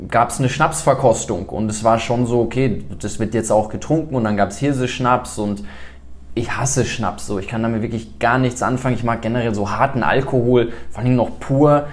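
Chatty man hosting an interview. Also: lowish voice. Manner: conversational, rambling questions with fillers. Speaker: podcast host. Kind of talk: interview questions, casual chat.

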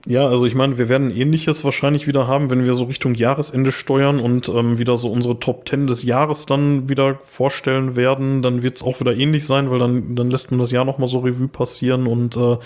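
A young man with a slightly hoarse voice, talking casually as a podcast co-host. He rambles, restarts his sentences and fills the gaps with "ähm". His pitch sits in the middle for a man. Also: flat delivery, fairly quick, hardly any pauses, a little mellow. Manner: rambling, many fillers and restarts. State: a little hoarse. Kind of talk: casual chat.

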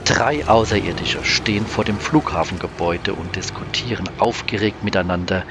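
A man's voice: sits low, normal speed, background noise. A man narrating a scripted German science-fiction audio drama, announcing scenes and describing the action. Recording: music under the narration.

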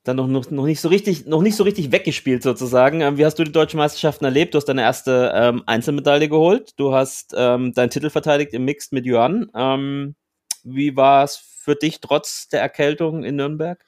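A man hosts a podcast in an even, mid-range voice that sits right in the middle for a man. He talks in long explanatory stretches, pausing often on filler sounds as he gathers his thoughts. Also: medium pitch. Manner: explanatory, with frequent filler pauses. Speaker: podcast host. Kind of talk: podcast conversation.

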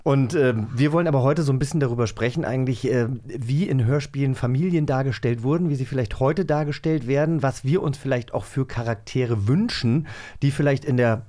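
A man's voice: mid-range voice, a little fast, mellow. A man chatting casually as the co-host of a podcast. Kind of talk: casual conversational chat.

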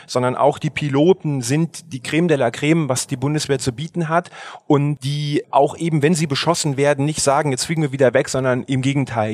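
A middle-aged man, a journalist, retelling events in an animated, conversational way with frequent restarts. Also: medium pitch, dry recording. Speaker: journalist and podcast guest. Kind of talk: conversational storytelling.

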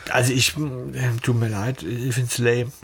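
A man's voice: mid-range voice, little pitch movement, a little slow, creaky, slight background noise. A man giving informal, firm opinions as co-host of a fan podcast. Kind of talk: casual fan podcast chat.

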